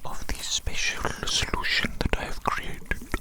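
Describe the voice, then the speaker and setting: A performer voicing an evil mad doctor in a whispered ASMR roleplay, doting on a monster creation with slow, repetitive, formal phrasing. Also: natural voice. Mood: doting, scheming. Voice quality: breathy unvoiced whisper.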